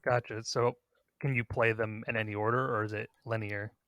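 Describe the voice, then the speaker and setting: A man's voice: on the low side, flat delivery, brisk, a bit bright, dry recording. A man hosting a podcast, talking casually as he leads a countdown discussion. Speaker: podcast host.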